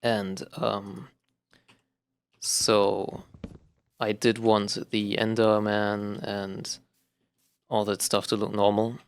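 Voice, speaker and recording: Low, flat-voiced German man rambling. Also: clean tone. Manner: rambling, halting, with many fillers and restarts. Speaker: gaming vlogger. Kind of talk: casual unscripted talk while playing a game.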